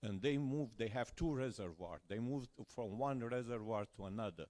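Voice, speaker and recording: A man's voice: medium pitch, normal speed, darkish tone. A man from Romania with a Romanian accent, giving a conference presentation in careful, slightly broken English. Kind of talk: academic conference talk.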